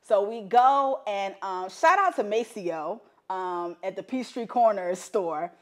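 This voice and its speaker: A chatty woman hosts a relaxed interview. She talks in easy, playful asides and laughs often. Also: medium pitch, slow pace. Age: 30s. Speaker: podcast host. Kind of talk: casual interview chat.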